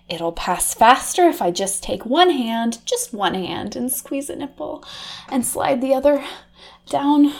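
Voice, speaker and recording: A woman voicing a flustered, easily aroused undercover reporter, swinging from hushed murmurs to shrill cursing. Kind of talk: comedic erotic audio drama monologue.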